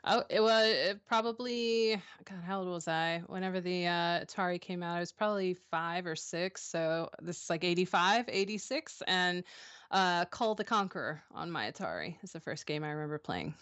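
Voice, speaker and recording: A woman in her thirties chats as a guest on a streaming interview. Her voice sits low for a woman, and she talks in a halting way, filling her gaps with ums and restarting her sentences. The sound is dry, with almost no room. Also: a bit bright, clear, resonant tone, no echo. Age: 30s. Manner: hesitant, many ums and restarts. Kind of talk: casual interview answers.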